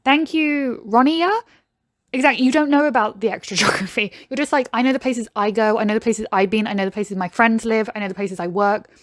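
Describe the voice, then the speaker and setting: A woman's voice: fairly high pitch, fairly quick, dry recording, studio quality. A British woman hosting a reaction video, chatting casually and laughing often. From Britain. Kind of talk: casual commentary.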